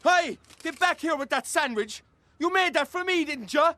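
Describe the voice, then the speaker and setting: A high-pitched, very animated Irish man, cantankerous and proud, living rough. He grumbles with theatrical exasperation and biting sarcasm, in radio drama style. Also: moderate pace, a little hiss or hum, full character voice. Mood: indignant. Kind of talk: radio play acting.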